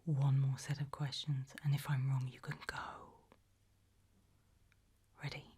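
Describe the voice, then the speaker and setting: Woman acting a slow, breathy British whispering interrogator. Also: rumbling low, flat delivery, mellow, heavily acted. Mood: eerie, probing. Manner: slow, deliberate questioning, ominous. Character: composed, probing female interrogator of possessed people at a sinister institute. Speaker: ASMR roleplay performer. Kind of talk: whispered ASMR roleplay.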